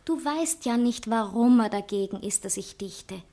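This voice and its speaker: A woman's voice: fairly high pitch, animated, normal speed, dark tone. An actress playing a proud, sentimental woman novelist, dramatic and self-justifying in a satirical stage play. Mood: defensive, sentimental. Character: proud, sentimental woman novelist torn between two lovers. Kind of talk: stage satire acting in literary German.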